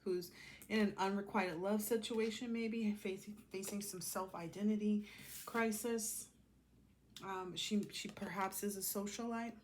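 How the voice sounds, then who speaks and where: A woman's voice: medium pitch, steady tone, measured pace, slight background noise. A woman giving a casual, improvised tarot reading, talking colloquially to herself and to the cards as she goes. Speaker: tarot reader hosting a collective reading.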